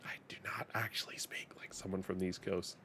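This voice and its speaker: A man with a Danish accent and a fairly low voice chats his way through a game on a live stream. He is a native Danish speaker, laughs a lot, and stumbles and repeats words as he restarts his sentences. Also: little pitch movement, fairly quick, sharp tone, whispered. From Denmark. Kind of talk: casual live-stream gaming commentary.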